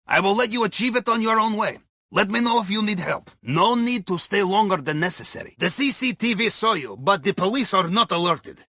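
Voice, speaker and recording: Husky, bright-voiced Russian heist planner. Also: male voice, sits high, expressive, a little fast, no echo, clean studio sound, heavily acted. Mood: wry, businesslike. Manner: wry, folksy, drops articles. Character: wry, upbeat male submarine captain's sidekick and heist planner. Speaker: mission guide. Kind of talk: scripted video game voice lines.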